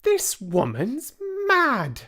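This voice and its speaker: A man's voice: high voice, huge pitch swings, extremely slow, bright, forceful tone, slightly rough, pitched above the performer's own voice, full character voice. A man voicing a prim, dismissive middle-aged mother in a storybook, with a curt, haughty manner. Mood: prim, disdainful.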